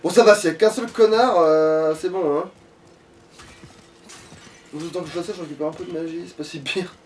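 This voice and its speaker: A man's voice: fairly high pitch, steady tone, a little fast, darkish tone, recorded from a distance, slight background noise. A man chatting casually as he plays a video game, with crude, slangy talk and frequent swearing. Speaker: let's play gamer at the controls. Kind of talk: casual gaming chat while playing.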